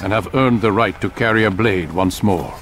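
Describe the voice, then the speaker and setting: An actor playing a stern older master of assassins in a video game, measured, formal and authoritative. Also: male voice, lowish voice, a bit bright, slight background noise, close to an everyday voice. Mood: grave, instructing. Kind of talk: dramatic video game acting.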